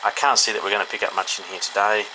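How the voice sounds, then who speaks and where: A man's voice: lowish voice, steady tone, rapid-fire. An Australian man giving a casual, unscripted product review indoors, thinking aloud in an informal, hesitant way. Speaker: hobbyist radio reviewer. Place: indoors, in a room. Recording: clear background noise, indoor home recording.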